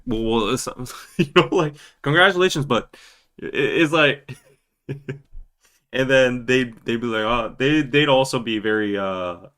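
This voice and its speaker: A man with a Korean accent hosting a live podcast, talking casually in a rambling, animated way with loud emphatic bursts and quick laughs. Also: mid-range voice. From South Korea. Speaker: podcast host. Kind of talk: casual live-stream chat.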